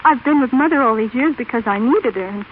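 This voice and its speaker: An actress playing a devoted, tender teenage daughter with earnest, emotional delivery in an old radio drama. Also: female voice, on the high side, quick pace, natural voice. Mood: earnest, pleading. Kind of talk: 1930s-40s radio drama acting. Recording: tinny, noisy old radio recording.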